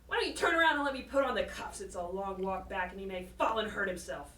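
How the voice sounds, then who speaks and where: A woman playing a gloating, taunting prison guard with folksy swagger and an excitable manner in an audio drama. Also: mid-range voice, quick pace, natural voice. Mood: gloating, menacing.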